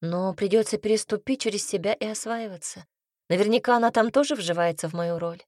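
Woman, audiobook narrator, first-person story, expressive, mid pitch, dry close sound. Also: brisk, no echo. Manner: even, expressive storytelling with quoted lines.

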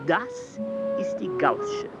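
A slow, probing questioner. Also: male voice. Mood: curious. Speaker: character. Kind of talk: radio play acting. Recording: dull radio play audio with loud music.

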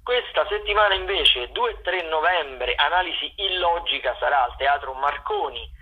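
A man hosting a radio programme, running through upcoming shows unscripted, in a halting, improvised way with drawn-out words and self-corrections. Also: a little high, expressive. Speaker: radio host presenting theatre listings. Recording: tinny, phone-like radio sound.

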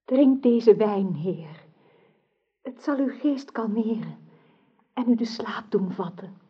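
An actress playing a lovesick, yearning young noblewoman with a breathy, hushed voice in a radio drama. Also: on the high side, expressive, slow pace, heavily acted. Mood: tender, yearning. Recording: dull lo-fi old radio recording.

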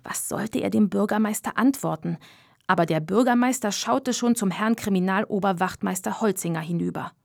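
A woman narrating a scripted children's story in a measured, expressive way, with a creaky voice. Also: mid-range voice, no echo, studio quality.

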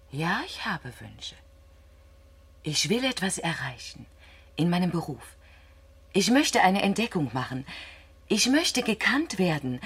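Young female astronomer, low breathy voice, ambitious, candid, introspective, halting, science fiction radio play. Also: heavily acted. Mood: yearning, intense.

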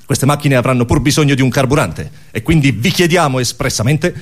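A man's voice: mid-range voice, brisk, lightly acted. A man playing an alien orator in a radio comedy, pompous and coldly contemptuous, declaiming a mock propaganda speech. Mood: rhetorical, persuasive.

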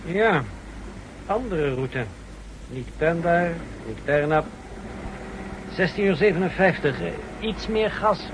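An actor playing a controlling, tight-lipped secret-service escort in a 1960s radio drama, giving terse, clipped orders. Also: male voice, expressive, takes it slowly, pauses often. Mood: curt, evasive. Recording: noisy old radio recording, car rumble.

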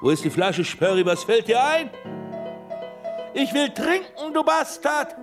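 Megalomaniac doctor villain: domineering, volatile, highly animated delivery over prominent music, for radio drama. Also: male voice, a little slow, heavily acted. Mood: drunken, raging. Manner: imperious, volatile, barking orders. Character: megalomaniac criminal mastermind doctor, cruel, domineering drunkard. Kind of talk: German radio drama acting. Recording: music playing behind the voice.

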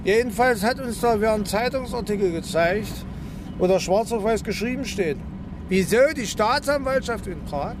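A male actor in a German radio drama playing a gruff, suspicious village policeman, blunt, sarcastic and interrogating. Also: high-pitched, expressive, moderate pace. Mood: accusing. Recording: outdoor ambient noise behind.

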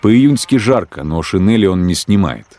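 A man narrating scripted prose for a radio play in a deliberate, descriptive storytelling manner. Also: deep voice, lively intonation, mellow.

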